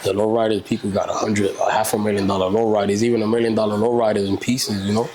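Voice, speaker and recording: A man's voice: on the low side, level tone, quick pace, low-quality recording. A man in his thirties, a native Spanish speaker from Puerto Rico, talking casually as a barber in an interview, rambling and often saying "you know". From Puerto Rican. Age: 30s.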